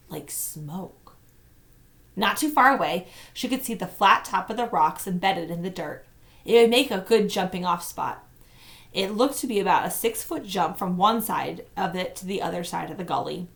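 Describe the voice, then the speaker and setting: Female teacher-narrator, slightly low register, expressive storytelling for young listeners. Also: creaky. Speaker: teacher reading aloud. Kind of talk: children's novel read-aloud narration.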